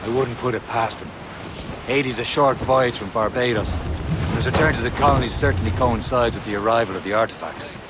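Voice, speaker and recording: A man's voice: medium pitch, a little fast, natural voice. A man playing a level-headed, loyal assassin and first mate in a video game, earnest and reasoning in manner. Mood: grave. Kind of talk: dramatic game voice acting. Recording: wind and sea noise behind.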